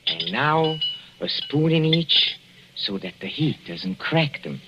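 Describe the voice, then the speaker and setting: An actor playing a sly older husband who coos softly and chuckles while poisoning his wife, in 1940s radio drama acting on a dull old broadcast recording. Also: on the high side, sing-song, slow, vocal fry, a little hiss or hum, heavily acted. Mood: cooing. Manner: soft and wheedling, chuckling, quietly menacing.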